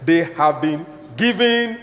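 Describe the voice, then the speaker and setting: A man with a Nigerian accent playing a genial, commanding traditional king in a stage play, speaking in a stately, halting way. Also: fairly high pitch, expressive, a bit bright. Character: genial, commanding Igbo traditional king addressing his subjects. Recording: echoey hall with music behind.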